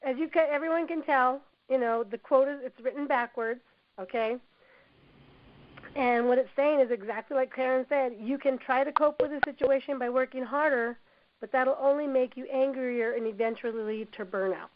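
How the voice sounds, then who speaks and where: A woman with a hoarse voice from a cold, presenting a workplace training webinar in an encouraging manner, often saying "you know". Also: high voice, moderate pace. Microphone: computer mic on a webinar call. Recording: dull lo-fi web audio.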